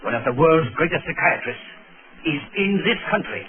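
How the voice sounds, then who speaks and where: An actor playing a cold, scheming foreign villain in a 1930s radio drama, with a menacing, theatrical, drawn-out delivery. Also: male voice, fairly high pitch, lively intonation, lightly acted. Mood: smugly confident. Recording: tinny 1930s radio recording with music.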